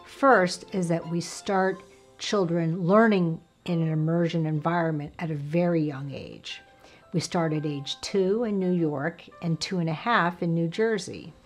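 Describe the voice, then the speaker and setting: A woman's voice: fairly low pitch, a little slow, faint music behind. A woman speaking as a school leader in a promotional interview, polished and articulate, sounding proud.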